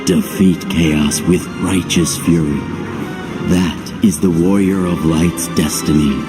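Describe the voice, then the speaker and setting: A grave, unyielding oracle, with a deep voice and slow, solemn declarations. He is calm and stern, in fantasy game style with music behind. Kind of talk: video game cutscene acting.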